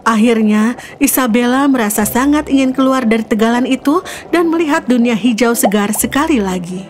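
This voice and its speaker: A woman's voice: fairly high pitch, normal speed, a little mellow. A woman reading a scripted children's fairy tale as the storyteller, with music behind her. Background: background music.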